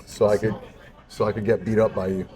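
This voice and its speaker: A man's voice: fairly low pitch, level tone, a little fast, dark tone. A man in his forties hosting a podcast, chatting casually, joking and telling anecdotes. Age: 40s. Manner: joking, tells anecdotes with quoted voices. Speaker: podcast host. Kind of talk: casual chat.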